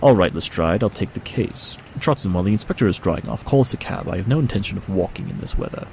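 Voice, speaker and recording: A man playing a shrewd, dry-witted, aloof pony detective in an audio drama, with clipped, wry, deliberate delivery. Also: sits low, animated, gravelly, a little mellow. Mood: intrigued, brisk. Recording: noisy, with rain behind.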